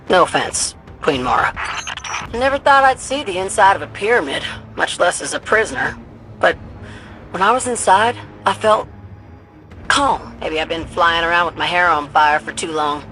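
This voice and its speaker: An actress playing a spirited, stubborn pilot in a video game, with frank, folksy, casual phrasing. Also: female voice, fairly low pitch, pushed and bright, poor audio. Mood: frank, reflective. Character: plain-spoken, spirited female pilot, stubborn and loyal. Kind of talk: scripted video game dialogue.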